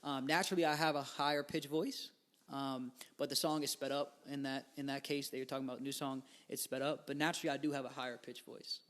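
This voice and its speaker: Young man, twenties, podcast guest, rambling, slangy, middle-pitched voice. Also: flat delivery, quick pace, a bit bright. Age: 20s. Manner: rambling, filler-heavy, laid-back slang. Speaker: guest musician. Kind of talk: casual podcast interview.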